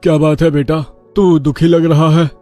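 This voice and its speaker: A man's voice: on the high side, flat delivery, normal speed, mellow, faint music behind, natural voice. A man playing a kindly, practical village father in a children's story. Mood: concerned, reassuring. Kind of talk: children's moral story voice acting.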